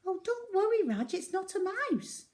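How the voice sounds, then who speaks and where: A woman voicing a shy, downcast little schoolgirl who speaks quietly and hesitantly. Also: very high-pitched, very animated, unhurried, voice pushed up, full character voice. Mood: timid.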